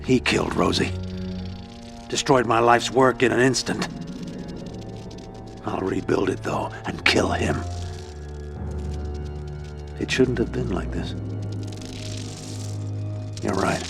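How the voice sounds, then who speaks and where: An actor playing a vengeful male mad scientist villain in a video game, speaking in a cold, measured, menacing way over loud music. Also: medium pitch, gravelly. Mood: bitter.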